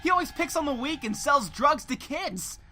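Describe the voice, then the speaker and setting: Lady doing a cocky teen boy gangster, anime style, high bright voice, blunt and scornful. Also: animated, normal speed, faint music behind. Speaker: voice actor in a fan dub. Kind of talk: anime dub acting.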